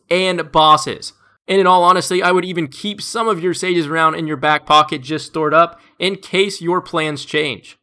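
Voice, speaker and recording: A man with a stuffed-up, congested voice, narrating a scripted game tips voice-over as a gaming video creator, in an upbeat, steady tip-by-tip delivery. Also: high-pitched.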